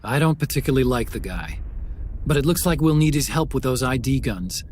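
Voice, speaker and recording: A man playing an earnest, analytical adviser giving a briefing in a video game, articulate and measured. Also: medium pitch, animated, darkish tone, slight background noise, close to an everyday voice. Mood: informative. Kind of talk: video game cutscene acting.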